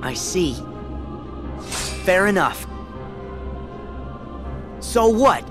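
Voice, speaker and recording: A spirited teenage boy hero whose youthful voice leaps sharply up and down with feeling. He reacts with emotional, confused outbursts, and a clear game soundtrack plays under him. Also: high voice, sing-song, drawn-out words, full character voice. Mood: defiant. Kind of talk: video game cutscene acting. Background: game soundtrack music.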